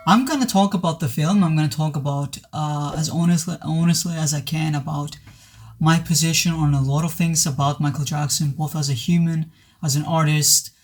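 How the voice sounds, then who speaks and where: Male reviewer, pitch a bit high, voice worn from sickness, sincere and stop-start. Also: fairly even intonation, normal speed. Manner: hesitant, many restarts, earnest. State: voice worn from recent illness. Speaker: movie reviewer. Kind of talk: casual spoken film review.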